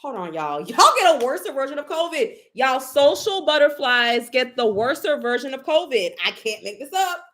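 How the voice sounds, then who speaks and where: Brash, profane high-voiced woman. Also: big pitch swings, normal speed, hardly any pauses, a bit bright. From Haitian family. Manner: brash, profane, sassy, emphatic. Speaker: psychic reader. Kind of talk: casual tarot reading chat.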